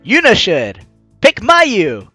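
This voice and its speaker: An animated let's player hosts a game playthrough in a mid-pitched voice that swings freely. The delivery is jokey and teasing, moving between reading narration and commenting. The sound is dry, with clear music underneath. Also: takes it slowly, no room sound. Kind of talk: let's play commentary and read-aloud narration.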